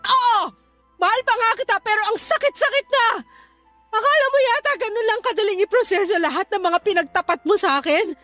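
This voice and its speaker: A young woman in a Tagalog radio love drama. Her voice is very high and full of feeling, with emphatic delivery. Music plays underneath. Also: a little fast, a bit bright. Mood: hurt, angry. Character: heartbroken, strong-willed young woman, betrayed then courted. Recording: music behind the voice.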